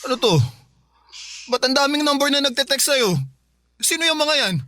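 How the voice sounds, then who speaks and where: An actor playing an apologetic, questioning young boyfriend in a radio drama, with a Filipino accent. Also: male voice, very high voice, moderate pace, sharp tone, slight background noise. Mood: suspicious. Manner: questioning, placating. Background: faint music.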